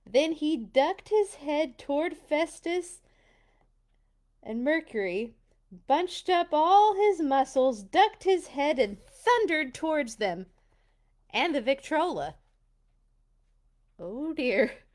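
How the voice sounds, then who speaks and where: A woman reading a children's storybook aloud, dramatic and expressive, with little personal asides. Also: high voice, takes it slowly, pauses often. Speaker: storytime reader.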